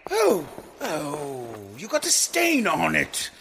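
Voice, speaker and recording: An actor playing a pompous, bumbling costumed vigilante hero who declaims grandly in a comic audio drama. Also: male voice, a little high, huge pitch swings, slow, bright, forceful tone, slight background noise, exaggerated voice. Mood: awkward, fussy.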